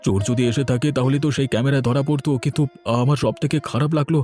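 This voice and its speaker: A man's voice: medium pitch, fairly even intonation, racing, mellow, close to an everyday voice. A man voicing an honest, humble shop worker in a cartoon, earnest and aggrieved as if wrongly accused. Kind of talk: animated cartoon dialogue. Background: music.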